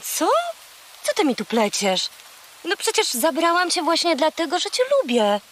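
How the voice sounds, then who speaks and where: An actress playing a lively, affectionate young girl in a children's audio drama. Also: sits high, moderate pace, a little hiss or hum. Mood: playful.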